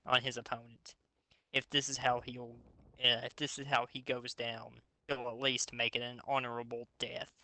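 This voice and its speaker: Bright-voiced man reading aloud, sarcastic and sweary. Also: mid-range voice, flat delivery, moderate pace, dry recording, rough recording. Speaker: host reading fan fiction aloud. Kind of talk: mocking dramatic reading with casual commentary.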